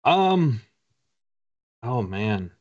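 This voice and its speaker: A man's voice: medium pitch, big pitch swings, extremely slow. A middle-aged man from Canada chatting casually as an author being interviewed, with a conversational, self-deprecating humor. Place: book-lined room indoors, video call. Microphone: video call. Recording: slightly dull video-call audio.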